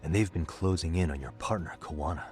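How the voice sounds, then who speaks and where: An actor playing a determined male detective in a game cutscene, pressing a prisoner with steady, probing questions. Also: deep voice, fast talker, faint music behind, lightly acted. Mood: stern. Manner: pressing, steady interrogating delivery. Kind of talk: game voice acting, dub.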